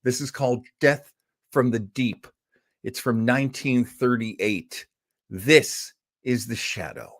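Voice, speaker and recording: A man's voice: mid-range voice, takes it slowly. A man hosting a podcast, talking casually and enthusiastically about old radio history, chatty with fillers and asides. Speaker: podcast host.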